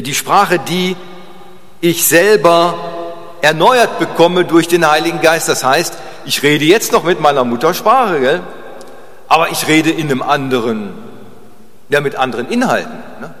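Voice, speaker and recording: A man preaching informally and chattily, in a halting way with pauses, repeated phrases and short tag questions. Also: on the high side, fairly bright tone. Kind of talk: informal sermon with digressions. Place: large reverberant room at a church service. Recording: long reverb of a large hall.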